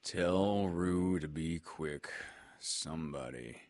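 A man voicing a gloomy old male donkey who grumbles slowly and mournfully. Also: very deep voice, darkish tone, no room sound, voice pushed down.

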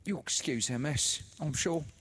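A man's voice: fairly high pitch, unhurried, fairly bright tone, slightly rough, pitched above the performer's own voice. A man voicing a sly, oily working-class woman, the dead man's sister, fawning over a visitor in a read-aloud story.

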